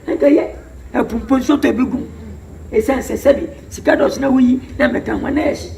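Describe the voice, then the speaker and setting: Pria memerankan kakek tua yang memohon, jauh dari mikrofon, bising di latar. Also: male voice, very high voice, moderate pace, creaky, darkish tone. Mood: pleading. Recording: roomy, distant, noisy.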